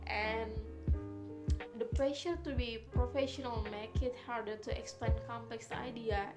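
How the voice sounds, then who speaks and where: Halting young woman over loud music. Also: a little high. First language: Indonesian. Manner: halting, repeats words with many fillers. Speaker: student presenter. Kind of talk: reading a class report. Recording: loud music bed behind the voice. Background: loud instrumental music.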